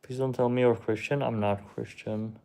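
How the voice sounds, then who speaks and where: A young man whose first language is Czech, hosting a casual livestream and answering chat in a slow, hesitant, shrugging way. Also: mid-range voice, steady tone, gravelly, mellow. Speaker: livestream host reading chat.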